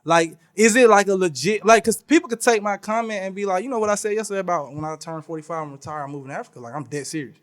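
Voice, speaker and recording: A young man who works as a teacher, talking in a slangy, animated, preachy way on a casual podcast. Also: sits high, racing, few pauses.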